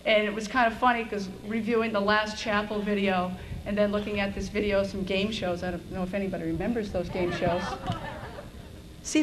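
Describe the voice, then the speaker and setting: A middle-aged American woman, a school administrator, giving an informal, conversational commencement speech with a self-deprecating streak. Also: medium pitch. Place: on stage at high school graduation. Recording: noisy event video with room ambience.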